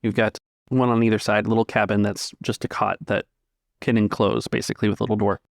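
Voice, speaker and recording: A man's voice: fairly low pitch, flat delivery, brisk, creaky, dry recording, clean studio sound. A man narrating a tabletop roleplaying game as its game master.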